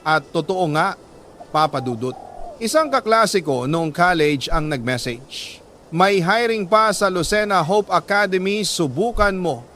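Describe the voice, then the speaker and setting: A man with a Filipino accent reads a letter as a dramatic first-person story, in a measured, emotive way with drawn-out pauses. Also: high voice, expressive, fairly bright tone, slight background noise. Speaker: radio storyteller reading a listener's letter.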